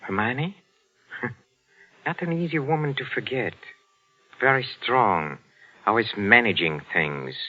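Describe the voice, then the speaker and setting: A henpecked middle-aged professor, with widely swinging intonation and a hesitant, mannered delivery. It is old radio drama acting on a dull vintage recording. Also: male voice, mid-range voice, expressive, slow pace, pauses often. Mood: musing, bitter. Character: meek henpecked old botany professor, quietly rebellious.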